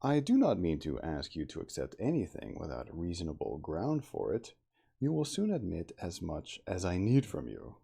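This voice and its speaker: An earnest Victorian gentleman scientist, as a male reader plays him, lectures in a low, husky voice. He is didactic and deliberate, with animated swings of pitch, in the manner of an audiobook character. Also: full character voice. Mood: insistent, lecturing. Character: earnest, learned Victorian gentleman inventor expounding a paradox.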